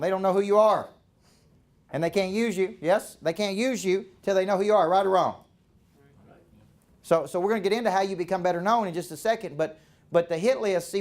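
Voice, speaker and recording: Fired-up Southern man coaching a room. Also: sits high. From American South. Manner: punchy, keeps checking in with the crowd. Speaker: business coach. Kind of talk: live seminar talk to a room. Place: event room with a live audience.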